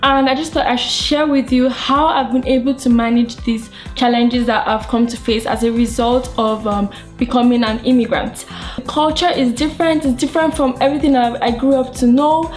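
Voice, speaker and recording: Young Nigerian woman, high voice. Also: steady tone, moderate pace, soft background music. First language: not English. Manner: earnest, gives advice in numbered tips. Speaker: vlogger. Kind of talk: casual talk to camera.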